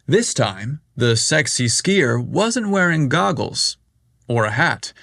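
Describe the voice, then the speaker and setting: A man reading a story aloud as narrator, in a measured, unhurried way. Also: medium pitch, sing-song, gravelly, fairly bright tone, no echo, studio quality.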